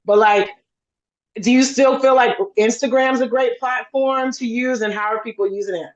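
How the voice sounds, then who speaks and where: A person in their forties chats on a video call in a friendly, rambling way, full of fillers and restarts. Their voice sits at a middle pitch. The call audio sounds dull and lo-fi. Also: steady tone, moderate pace. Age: 40s. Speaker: campaign supporter on a livestream. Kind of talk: casual video-call chat.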